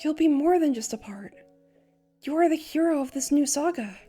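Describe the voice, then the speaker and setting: Woman acting a mellow, lyrical boyish bard. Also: high voice, lively intonation, normal speed, soft background music. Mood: warm, playful. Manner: lyrical, poised storytelling. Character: gentle, playful boyish bard, secretly a wind god. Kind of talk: theatrical fan manga dub narration.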